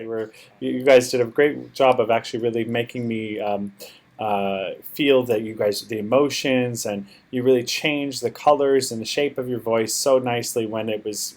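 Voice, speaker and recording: A man's voice: on the low side, a little mellow. A man who is a native English speaker, giving unscripted, encouraging feedback as a competition judge in a hesitant, rambling way.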